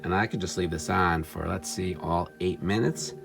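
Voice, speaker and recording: Low-voiced man with creaky fry, wry hands-on demonstrator, unscripted and excitable, clear music behind him. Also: normal speed. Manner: wry, excitable, talking to himself while tinkering. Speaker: vintage electronics seller demonstrating a device. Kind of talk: casual off-the-cuff product demo. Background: music from the player being tested.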